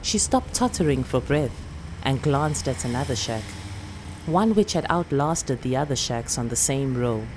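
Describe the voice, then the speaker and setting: A South African woman actor narrates a short story in a very low voice. Her reading is slow, deliberate and expressive, with clear noise in the background. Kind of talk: dramatic literary story narration.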